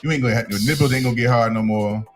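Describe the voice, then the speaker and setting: A man's voice: medium pitch, rapid-fire, faint music behind. A man in his thirties co-hosting a podcast, blunt and teasing in casual slangy talk. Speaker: podcast co-host. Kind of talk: casual chat.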